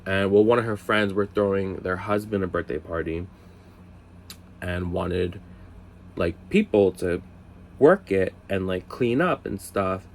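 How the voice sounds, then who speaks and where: Young man in his twenties, low voice, casual storytime, lots of "like" fillers, faint room hum. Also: a little slow. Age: 20s. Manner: chatty, swearing, lots of "like" fillers; mimics others in high voices. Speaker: storyteller vlogger.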